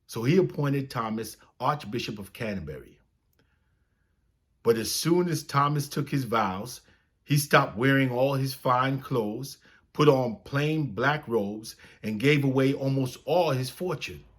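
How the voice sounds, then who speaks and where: A man reading a children's story aloud as a storyteller, with expressive, dramatic phrasing. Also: medium pitch, measured pace.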